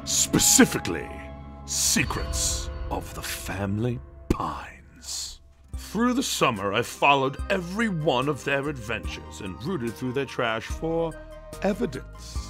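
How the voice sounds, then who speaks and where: A man's voice: mid-range voice, very animated, slow pace, over music. A man voicing an eccentric, obsessive old gnome who narrates a cartoon comic dub in a grandiose, dramatic way. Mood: grand, revelatory. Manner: grandiose, dramatic, storyteller phrasing.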